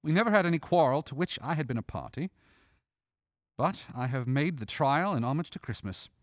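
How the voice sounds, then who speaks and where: A man playing a cheerful, warm-hearted young gentleman in a radio drama, earnest and theatrical. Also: fairly high pitch, animated, fairly quick, no room sound, studio quality, lightly acted. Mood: pleading, good-natured. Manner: earnest, theatrical, good-natured.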